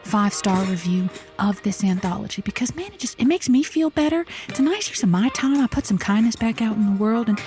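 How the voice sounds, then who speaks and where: A woman's voice: medium pitch, lively intonation, a little fast, few pauses, darkish tone, faint music behind, lightly acted. A woman playing an anxious, sarcastic librarian who mutters to herself in a comic audio drama. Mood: absorbed, chatty. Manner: talks to herself.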